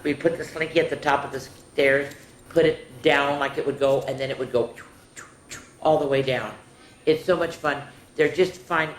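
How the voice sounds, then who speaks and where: Older woman hosting children, echoey room. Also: very deep voice. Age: senior. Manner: chatty, instructive, asks the children questions. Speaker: children's story time host. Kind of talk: children's storytelling and activity talk. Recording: echoey room with faint noise.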